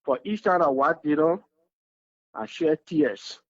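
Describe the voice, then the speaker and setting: A man's voice: fairly high pitch, lively intonation, a little slow, no room sound. A man with a Liberian English accent calling in to a talk show, speaking earnestly and often repeating words as he searches for them. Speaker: caller. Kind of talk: emotional call-in testimony. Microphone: phone call. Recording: dull phone line.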